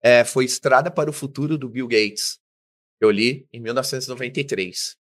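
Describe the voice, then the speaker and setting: A middle-aged man, a business guest in an interview, telling his life story with lively acted-out dialogue. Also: medium pitch, brisk. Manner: animated storyteller, acts out quoted dialogue.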